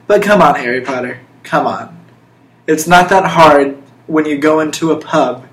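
A young man hosting a casual podcast, talking in a mock-serious, emphatic way. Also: on the high side. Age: young adult. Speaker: podcast host. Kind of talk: casual podcast chat with playful monologues. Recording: roomy echo, faint background noise.

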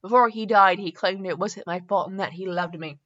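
A woman's voice: mid-range voice, moderate pace, fairly bright tone, highs cut off, pitched below the performer's own voice, close to an everyday voice. A woman reading dramatic fan fiction as a lovestruck young male writer, earnest and wistful. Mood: wistful, grieving.

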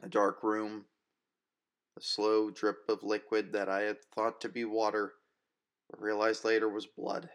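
A man with a fairly low voice reads first-person fiction like an audiobook. His delivery is measured and literary, with a wistful cast. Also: fairly bright tone. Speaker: audiobook reader.

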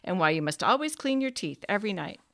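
A woman's voice: medium pitch, expressive, fast talker, vocal fry, a bit bright. A woman voicing a precocious, sober little boy who talks in an earnest, matter-of-fact way.

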